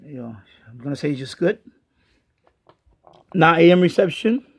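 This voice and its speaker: A man giving a casual hands-on product review in a halting way, repeating himself as he goes. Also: fairly high pitch, expressive. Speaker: gadget reviewer and radio hobbyist. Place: basement of a house. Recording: dull lo-fi home video sound.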